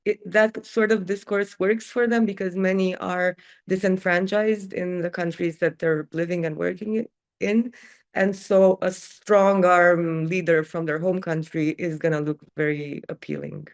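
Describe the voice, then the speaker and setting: A woman's voice: fairly low pitch, fairly even intonation, measured pace. A woman on a talk show panel, offering earnest political commentary. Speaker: panel guest.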